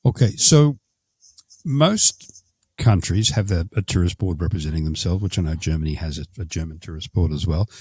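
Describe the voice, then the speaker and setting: A man's voice: deep voice, moderate pace, a little mellow, husky, no echo. A man hosting a travel podcast, asking chatty questions and often correcting himself as he goes. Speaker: podcast host. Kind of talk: casual interview.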